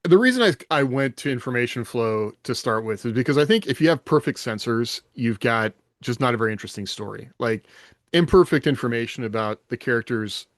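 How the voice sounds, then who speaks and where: A man's voice: medium pitch, lively intonation, fairly quick, no room sound, clean studio sound. A man in a casual podcast panel discussion, explaining his thinking reflectively and often checking in with rhetorical questions.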